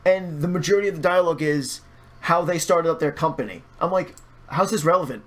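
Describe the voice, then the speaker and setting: Higher-pitched man chatting casually, rambling and opinionated. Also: brisk, fairly bright tone. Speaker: podcast guest, video creator. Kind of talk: casual podcast interview chat.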